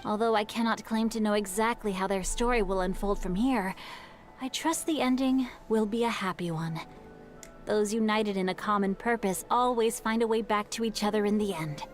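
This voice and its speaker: A woman voicing a gentle, bookish young noble boy in a video game, polite and eloquent. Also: medium pitch, moderate pace, natural voice. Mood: reassuring. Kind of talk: video game character dialogue. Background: faint music.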